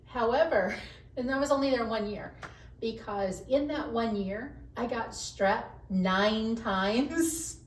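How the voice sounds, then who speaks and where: A woman whose voice carries, telling animated, self-mocking stories about her working life. She sits at some distance from the mic, so the sound is roomy. A faint hiss stays under her voice. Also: a little high, slow pace. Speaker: podcast guest, HR professional. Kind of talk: casual interview chat.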